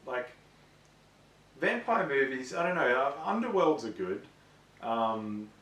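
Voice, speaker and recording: A man's voice: medium pitch, sing-song, slow pace, a bit bright. A man co-hosting a gaming show in casual, informal chat, giving his opinions with enthusiasm. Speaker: co-host of a gaming video show. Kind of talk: casual chat about films and games. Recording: echoey room, distant mic.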